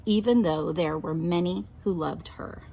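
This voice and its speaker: A woman voicing a stern old lady telling a bedtime story, firm, knowing and deliberate. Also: on the low side, vocal fry, background noise.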